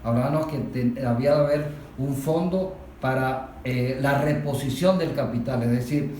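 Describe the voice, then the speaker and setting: A man's voice: medium pitch, dark tone. Venezuelan man explaining politics in an echoey room. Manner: deliberate, emphatic, poses rhetorical questions. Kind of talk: political discussion, explanatory and didactic. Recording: very echoey room, distant mic, faint noise.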